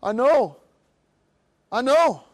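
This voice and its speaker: A middle-aged man from Brazil with a Brazilian Portuguese accent, giving an animated seminar talk and acting out other people's voices. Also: very high voice, very slow. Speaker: motivational speaker. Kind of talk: live seminar presentation.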